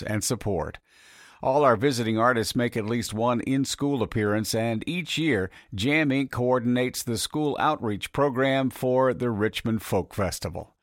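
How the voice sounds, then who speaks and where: A male radio show host reading scripted announcements in a measured, even way. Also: medium pitch, no room sound, studio quality.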